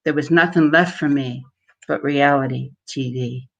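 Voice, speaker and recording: A man giving a measured, dramatic live reading of a first-person horror story. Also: medium pitch, vocal fry, lo-fi sound. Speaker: author or performer reading.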